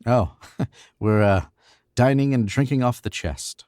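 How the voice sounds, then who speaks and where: A man's voice: mid-range voice, measured pace, a little mellow, no room sound, studio quality, lightly acted. An actor playing a smug, glib young man in an old-time radio drama, breezy and cocky.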